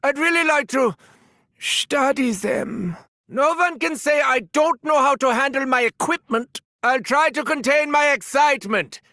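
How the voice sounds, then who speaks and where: An actor playing a gleefully sadistic mad scientist doctor with a German accent, in a video game, gloating theatrically with cackling and shouting. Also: male voice, extremely high pitch, unhurried, no echo, studio quality, full character voice. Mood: sardonic. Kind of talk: video game character barks.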